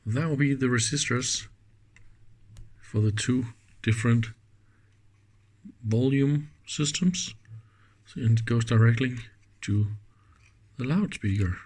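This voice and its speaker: A man with a mid-pitched voice talks slowly through a hands-on task. His commentary is casual and unscripted. He muses as he goes, halting and leaving thoughts unfinished. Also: darkish tone, breathy edge. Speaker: electronics teardown host. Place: indoors at a workbench.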